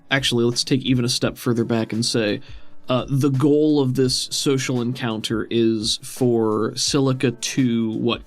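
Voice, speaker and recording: A man speaks as game master in a casual, explanatory style. His voice sits at a middle pitch. Faint music runs underneath as he talks through the tabletop game. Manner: conversational, explanatory.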